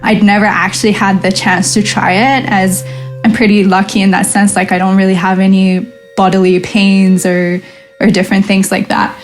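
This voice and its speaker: Woman guest, relaxed conversational storyteller, middle-range voice. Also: mid-range voice, moderate pace, soft background music. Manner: chatty storytelling, acts out her own past thoughts in quotes. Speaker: lucid dream researcher and coach, guest. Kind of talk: casual podcast conversation.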